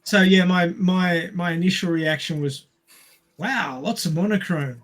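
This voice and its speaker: High-pitched man, casual podcast panelist. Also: measured pace, a bit bright. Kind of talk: casual film discussion.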